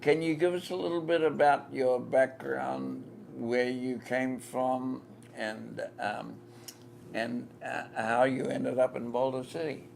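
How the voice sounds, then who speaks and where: A man with a New Zealand accent hosting a live-stream interview from inside a themed shop, speaking slowly and deliberately. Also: mid-range voice, level tone, vocal fry, a little mellow, slight background noise. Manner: slow, deliberate, repeats the shop address. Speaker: podcast host.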